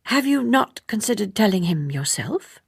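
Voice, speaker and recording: A woman's voice: medium pitch, very animated, moderate pace, gravelly, husky, dry recording, clean studio sound, pitched above the performer's own voice, exaggerated voice. A woman reading an audiobook, voicing a composed, guarded young woman who is formal, restrained and politely challenging. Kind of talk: audiobook character dialogue.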